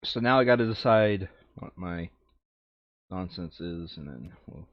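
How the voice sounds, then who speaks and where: Low-voiced man muttering, dry dull recording. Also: lively intonation, a little slow, no echo. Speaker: player setting up a co-op board game campaign. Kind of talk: casual gaming chat, thinking aloud.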